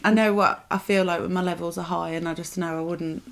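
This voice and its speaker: Calm low-voiced young woman. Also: fairly quick, creaky. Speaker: interviewee, support worker. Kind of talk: documentary interview.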